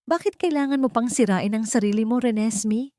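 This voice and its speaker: A woman's voice: on the high side, brisk, warm tone, dry recording, studio quality, voice pushed up, natural voice. A woman voicing a proud billionaire husband who hides tenderness and torment behind cold restraint in an audio drama. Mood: anguished, tender. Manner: cold, restrained, anguished undertone.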